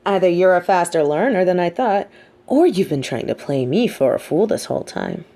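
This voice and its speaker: A woman playing a sardonic, teasing supervillain with a hidden soft side, talking straight to the listener in an audio roleplay with theatrical, mocking emphasis. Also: lowish voice, animated, normal speed. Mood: musing, lecturing. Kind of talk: scripted audio roleplay monologue spoken to the listener.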